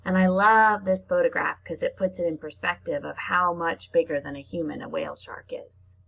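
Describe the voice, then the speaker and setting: Female primary teacher, children's read-aloud lesson, raspy with some vocal fry, simple child-directed delivery. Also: lowish voice, steady tone, fairly bright tone, low-quality recording. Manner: simple, child-directed explaining, slow deliberate reading alternating with chatty asides. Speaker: elementary teacher reading to pupils.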